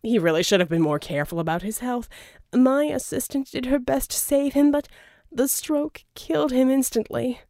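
A smug villain tycoon played by a woman narrator in a storybook reading, theatrical and mock-charming. The voice is mellow and cleanly dry, cheerful on the surface with menace beneath. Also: high voice, normal speed, few pauses, dry recording, voice pushed up. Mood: mock-regretful.

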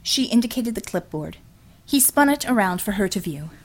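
Expressive woman narrating fiction. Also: medium pitch, normal speed. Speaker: audiobook narrator.

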